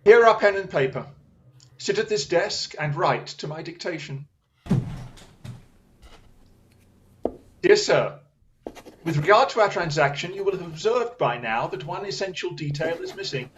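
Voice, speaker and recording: Victorian English detective played by a man, high voice, incisive, formal, relentless; radio drama with slightly dull sound. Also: animated, moderate pace, background noise, natural voice. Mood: commanding. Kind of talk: radio play acting.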